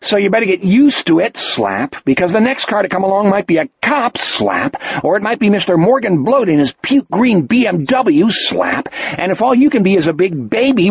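In this storybook reading, a ragged, hoarse teenage boy, as a man puts him on, shouts in raw fury, voice cracking. His pitch leaps around wildly, and the recording is dry and a little dull. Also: dry recording, full character voice. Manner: shouting, furious, voice breaking. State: hoarse sore throat. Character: exhausted, desperate teenage boy on the road.

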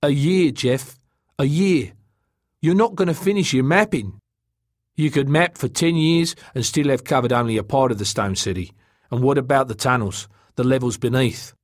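A stranded spaceman, played by a male narrator, worn down and bitter, speaks bluntly with a hard, resigned edge. It is an audiobook character in a mid-pitched male voice, recorded dry. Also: moderate pace, no echo, close to an everyday voice. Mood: hard, insistent.

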